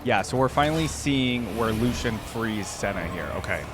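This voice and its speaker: A man giving casual, musing commentary as a reaction video host, often trailing off quietly. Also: on the low side, expressive, normal speed. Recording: voice over loud game cinematic music.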